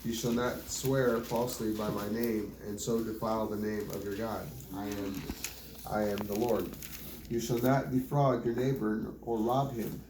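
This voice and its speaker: A man reading scripture aloud in a plain, measured way, with occasional small stumbles. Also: on the low side, mellow. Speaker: Bible reader. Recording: roomy home recording with faint background noise.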